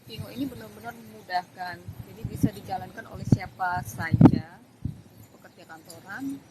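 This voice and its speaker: A woman's voice: mid-range voice, moderate pace. A woman giving an informal, hesitant promotional talk in vlog style. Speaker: online business promoter. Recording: loud background noise.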